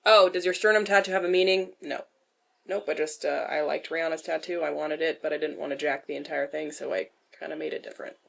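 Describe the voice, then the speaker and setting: A woman's voice: sits low, steady tone, quick pace, gravelly. A young adult woman with a nasal voice answers questions in a casual vlog style, candid and self-deprecating, with dry jokes and swearing. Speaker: vlogger.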